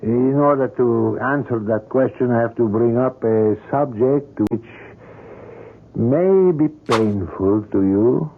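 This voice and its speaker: A man's voice: mid-range voice, slow pace, a little hiss or hum. An actor playing an older, courteous and obsessive male sculptor in a radio drama, with formal, florid and precise phrasing. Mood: intense, insinuating. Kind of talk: old-time radio drama acting. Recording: muffled vintage radio drama recording.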